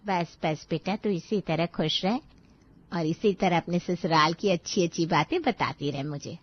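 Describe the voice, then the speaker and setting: A woman voicing a caring middle-aged mother of a newlywed, gentle and knowing, in a storybook tale. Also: on the low side, measured pace, lo-fi sound. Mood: fond.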